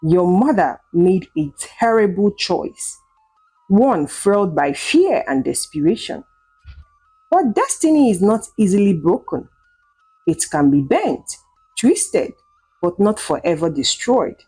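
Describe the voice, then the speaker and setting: A woman voicing an old wise woman in a folktale, solemn and cryptic, with measured pronouncements. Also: mid-range voice, very animated, warm tone, husky, soft background music, full character voice, poor audio. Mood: solemn, explaining.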